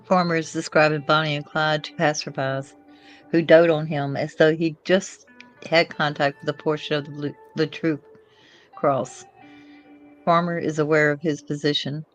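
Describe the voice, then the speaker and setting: A woman's voice: low-pitched, little pitch movement, normal speed, soft background music. A woman co-hosting a casual livestream, chatting and reading script lines aloud. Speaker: co-host and script reader.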